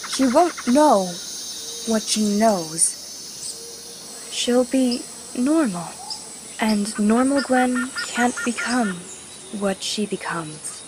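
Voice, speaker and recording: Woman as a slow, solemn mystic. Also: fairly high pitch. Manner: measured, solemn. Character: calm, calculating mystical conspirator, adult. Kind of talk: comic dub voice acting. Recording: noisy, loud birds and crickets.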